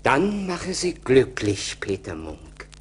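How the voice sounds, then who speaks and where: A humble older woodcutter in a radio play, slow and halting with wide swings of pitch. He is earnest and poor, heard on a slightly noisy record. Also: wildly swooping pitch. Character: poor, humble woodcutter, devoted father of a daughter.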